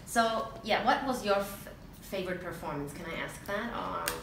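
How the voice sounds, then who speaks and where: Deep-voiced woman interviewer, chatty and laughing; roomy, distant, noisy recording. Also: moderate pace, vocal fry. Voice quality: deep speaking voice. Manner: chatty, laughs often, lots of restarts. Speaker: vocal coach and interviewer. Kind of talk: casual interview chat. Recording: echoey room, far from mic, noisy.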